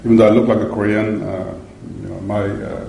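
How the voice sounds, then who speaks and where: A man presenting at an academic seminar in English, pausing often with fillers and restarting his phrases. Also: lowish voice, level tone, gravelly, warm tone, slight background noise. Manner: halting, many uh fillers and restarts. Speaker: academic conference speaker. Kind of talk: conference presentation. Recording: echoey lecture hall.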